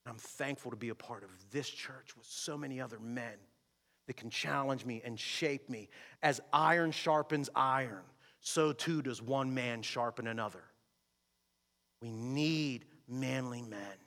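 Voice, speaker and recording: Male pastor, bright and forceful, emphatic sermon delivery in a church with a long reverb. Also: a little slow. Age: adult with a wife and kids. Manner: emphatic preaching, swings from shouting to low and quiet. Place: church sanctuary. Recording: long reverb of a large hall.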